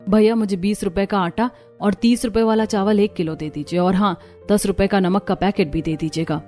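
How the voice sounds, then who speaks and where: A woman's voice: mid-range voice, fairly even intonation, moderate pace, mellow, soft background music, natural voice. A woman voicing a poor, concerned young housewife in a cartoon story, speaking earnestly. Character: poor, worried young housewife and daughter-in-law.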